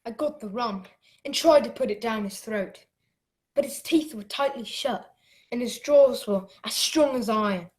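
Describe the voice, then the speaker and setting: A woman's voice: fairly high pitch, moderate pace, a little mellow, lightly acted. A woman playing a polite, earnest young boy who narrates his adventure in a radio play. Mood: tense storytelling.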